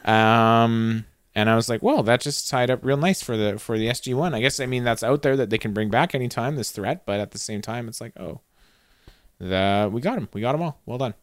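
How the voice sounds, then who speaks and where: A man's voice: medium pitch, a little fast, dry recording, studio quality. Wry laid-back man, podcast co-host. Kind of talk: casual chat reviewing a TV episode.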